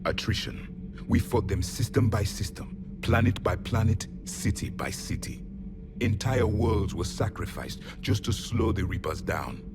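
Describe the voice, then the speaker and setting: A deep-voiced, ancient alien warrior, the last of his kind. He speaks with cold pride and grave, measured disdain, as in a video game, over prominent music. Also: lively intonation. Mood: grim, severe. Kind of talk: video game character dialogue.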